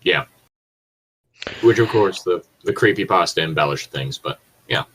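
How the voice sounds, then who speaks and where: A man with a low-pitched voice co-hosts a casual commentary podcast. He rambles through his points, doubles back to correct himself and swears freely. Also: lively intonation, measured pace, a bit bright, a little hiss or hum, low-quality recording. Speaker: podcast co-host reading and discussing creepypastas.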